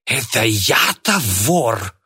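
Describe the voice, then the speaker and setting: A man voicing a cantankerous, sickly old man in an audiobook, spiteful and indignant, with a raspy, screechy voice. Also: big pitch swings, a little slow, a bit bright, full character voice. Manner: shrill indignant outbursts.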